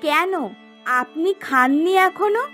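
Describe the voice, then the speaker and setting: A woman's voice: extremely high pitch, expressive, slow pace, bright, forceful tone, faint music behind, heavily acted. A woman voicing a bossy young or married housewife in a cartoon, pointed and questioning in manner. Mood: curt, dismissive. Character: curt daughter-in-law, or bossy persuasive wife. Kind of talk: cartoon dubbing.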